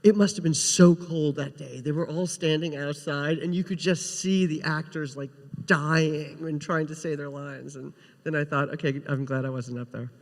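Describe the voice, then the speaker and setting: A man speaking as a writer guest on a panel, telling casual anecdotes with animated quoted asides. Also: a little high, normal speed. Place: large indoor convention panel room. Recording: echoey hall with long reverb.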